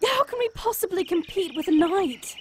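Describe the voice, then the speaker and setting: A woman's voice: very high voice, lively intonation, normal speed, a little hiss or hum. A woman playing an anxious, pleading sick witch in an amateur audio drama. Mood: exasperated.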